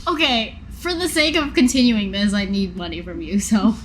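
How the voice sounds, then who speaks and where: A woman's voice: mid-range voice, normal speed, a bit bright, a little hiss or hum, rough recording. A woman playing a tabletop role-playing game, speaking casually but in an assertive, insistent way. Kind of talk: casual banter and improvised role-play.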